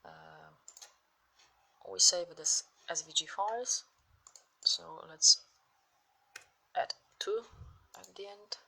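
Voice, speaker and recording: Woman tutor with a Polish accent, slow, lowish voice, walks through software steps. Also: creaky, breathy edge. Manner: slow, step-by-step instructions while clicking. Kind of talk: screen-recorded software tutorial.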